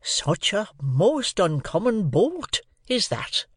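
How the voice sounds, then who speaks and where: A man's voice: sits high, very animated, slow, fairly bright tone, husky, dry recording, studio quality, pitched above the performer's own voice, heavily acted. A man voicing a kindly, simple country man for an audiobook, anxious and solemn, with rustic, uneducated phrasing. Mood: solemn, confidential.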